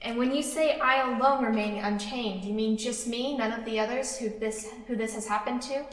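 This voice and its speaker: A woman playing a tabletop roleplaying game, talking casually and haltingly as she thinks aloud. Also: a little high, steady tone. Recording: distant microphone, slight noise, clicks.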